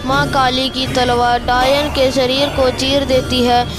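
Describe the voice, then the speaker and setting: Woman narrator, high voice, slow pace, scripted horror storytelling, music behind. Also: flat delivery.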